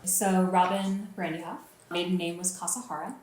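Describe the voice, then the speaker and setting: Woman, from Hawaii, low voice, hesitant, interview answers, strong room echo. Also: fairly even intonation, moderate pace, a little mellow, a little hiss or hum. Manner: hesitant, restarting phrases. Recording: very echoey room.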